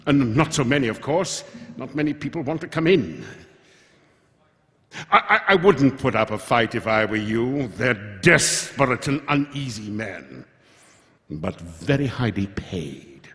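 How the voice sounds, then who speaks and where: Pompous, scheming official, wildly swooping delivery. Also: male voice, medium pitch, expressive, a little slow, a bit bright. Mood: blustering, triumphant. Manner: stammering, blustering, self-important. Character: nervous, pompous, scheming male official turned villain. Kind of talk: live radio theatre acting. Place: live stage in a hall. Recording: reverberant hall, live stage performance.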